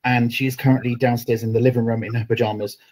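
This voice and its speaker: A man's voice: mid-range voice, little pitch movement, quick pace, darkish tone. A middle-aged man co-hosting an online knitting chat from his bedroom over a video call, jokey and friendly. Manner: jokey and friendly, reads out viewer greetings. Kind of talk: casual chat. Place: bedroom at home. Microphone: laptop webcam on video call.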